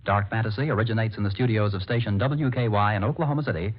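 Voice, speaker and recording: A formal American man announces in measured 1940s radio style. His voice is fairly low. The old recording sounds dull and lo-fi.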